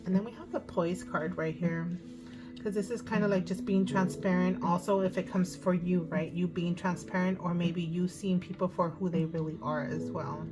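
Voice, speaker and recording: A woman with a hoarse voice giving a casual tarot card reading, thinking aloud as she goes. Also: on the low side, steady tone, moderate pace. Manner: chatty, thinking aloud with fillers. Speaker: tarot reader. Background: music.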